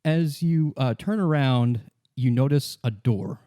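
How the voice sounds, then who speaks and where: A man narrating an improvised tabletop game as game master, in a halting, conversational way. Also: medium pitch, mellow, dry recording, clean studio sound.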